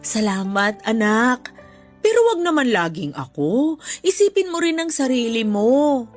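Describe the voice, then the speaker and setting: High, animated, grateful Filipino mother. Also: measured pace. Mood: tender, grateful. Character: grateful, contented, selfless older mother who once was poor. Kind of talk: Filipino radio drama acting. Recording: music bed behind the voice.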